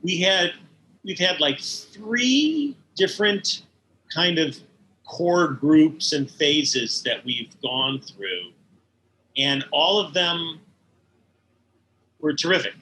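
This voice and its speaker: Interview guest: mature man, bright forceful tone, thoughtful and halting, faint background noise. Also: a little high, very animated, rough recording. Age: middle-aged or older. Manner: halting, thoughtful, searching for words. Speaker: interview guest, animation studio head. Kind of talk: conversational interview, reminiscing.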